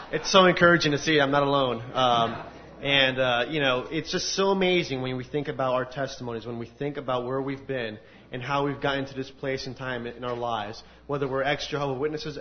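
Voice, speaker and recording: A man from the United States giving a personal testimony at a faith gathering, telling his story with earnest, animated energy. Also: medium pitch, slight background noise. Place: large room with an audience. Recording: dull lo-fi recording.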